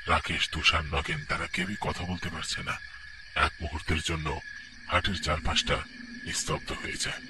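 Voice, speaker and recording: A man narrating a spooky cartoon story in a dramatic way that drops to a hush for suspense. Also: extremely low pitch, steady tone, normal speed. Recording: distant mic with noisy background.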